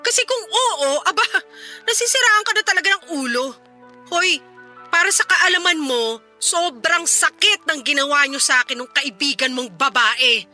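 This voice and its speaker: A woman's voice: sits high, normal speed, sharp tone, soft background music. A woman voicing a wounded, sarcastic fiancée scolding her cheating man in a Filipino-accented radio drama. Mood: hurt, scolding.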